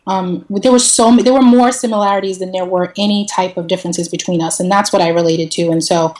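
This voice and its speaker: A woman's voice: fairly low pitch, fairly even intonation, quick pace, darkish tone. A woman speaking as a podcast guest, telling stories casually in a joking, candid way. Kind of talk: casual conversational storytelling. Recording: roomy echo, faint noise.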